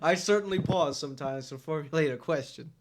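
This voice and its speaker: Guy hosting a roleplay talk show, kinda high voice, rambly and laughing a lot. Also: a little fast, a crowd in the background. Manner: rambling, musing, laughs often.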